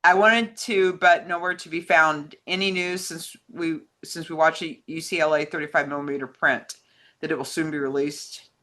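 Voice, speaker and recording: A woman's voice: low voice, fairly even intonation, moderate pace, sharp tone. A woman co-hosting a casual conversation and reading out questions from fans. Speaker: co-host reading fan questions.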